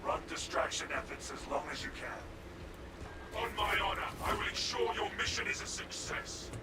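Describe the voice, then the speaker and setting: A man's voice: high voice, expressive, moderate pace. An actor playing a stern male soldier commander in a war game, giving clipped, formal orders. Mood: commanding. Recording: distant game audio under heavy noise. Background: loud noise.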